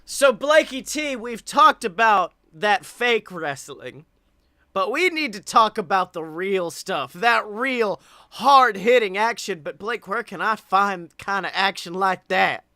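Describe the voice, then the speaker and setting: A man's voice: high-pitched, expressive, slow, sharp tone, no room sound, studio quality. A young man hosting a wrestling podcast in casual chat. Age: young adult. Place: home room, indoors.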